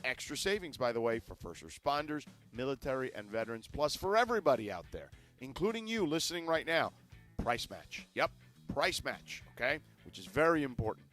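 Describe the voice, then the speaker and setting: A man co-hosting a sports talk radio show, arguing basketball points in casual debate and switching to an energetic live ad read. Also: a little fast, soft background music. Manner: animated, pitchman energy in the ad read.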